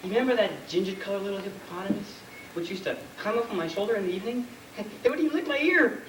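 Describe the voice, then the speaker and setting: A man's voice: high voice, quick pace, fairly bright tone. A man playing an eager, dim-witted, boyish prince in an amateur stage comedy. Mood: cheerfully oblivious. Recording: distant, echoey, very noisy stage recording.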